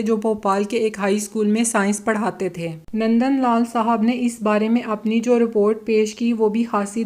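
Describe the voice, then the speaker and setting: A woman's voice: mid-range voice, a little mellow. A woman reads scripted history narration in a formal, literary storytelling manner, like a documentary narrator.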